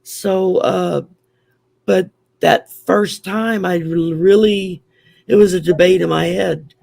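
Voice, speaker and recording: A man with a US Southern accent talks in a relaxed, chatty way, sharing stories as a guest. His voice is growly and heavily gravelly, pitched on the higher side for a man, with a husky, raspy edge. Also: slow pace, no room sound. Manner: chatty, open, storytelling. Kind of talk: casual chat.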